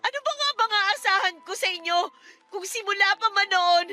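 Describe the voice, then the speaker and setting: A woman's voice: very high voice, lively intonation, moderate pace, bright, forceful tone, exaggerated voice. A woman playing a wronged young daughter in a radio drama, emotional, indignant and pleading. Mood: bitter, hurt. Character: wronged, anguished daughter confronting her mother. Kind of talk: Tagalog radio drama acting. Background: music.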